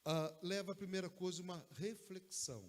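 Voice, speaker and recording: Brazilian preacher in a reverberant church. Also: male voice, high-pitched, moderate pace. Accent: Brazilian Portuguese. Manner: conversational, with frequent "né?" asides. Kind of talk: sermon. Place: church sanctuary. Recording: long church hall reverb.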